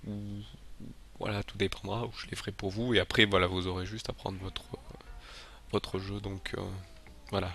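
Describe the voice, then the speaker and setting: A man's voice: sits low, level tone, moderate pace, gravelly, sharp tone. A man with a head cold talking casually to his channel's viewers, rambling and hesitant, with frequent filler sounds and restarts. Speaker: channel host. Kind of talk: casual video announcement to viewers.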